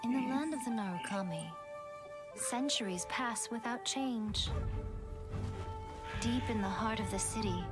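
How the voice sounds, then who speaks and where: An actress playing a poised, solemn storyteller narrating a fantasy realm in a measured, formal way for a game trailer. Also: female voice, fairly high pitch, lightly acted. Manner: measured, formal, poetic delivery. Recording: loud music over the voice.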